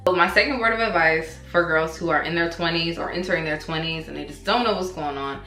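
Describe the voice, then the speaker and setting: Low-voiced young woman giving frank advice. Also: quick pace. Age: 20s. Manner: candid and animated, with slang and swearing. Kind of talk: casual big-sister advice talk. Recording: echoey room with faint music.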